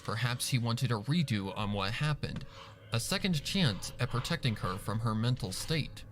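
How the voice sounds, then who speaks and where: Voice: mid-range voice, lively intonation, moderate pace, slight background noise. A narrator reading a scripted fan fiction story with music underneath.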